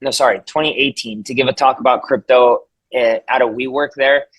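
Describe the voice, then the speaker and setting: A man's voice: mid-range voice, steady tone, a little fast, a bit bright, breathy edge, no room sound. A man whose first language is Spanish, hosting a casual video call, chatty and upbeat with frequent restarts. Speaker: community call host.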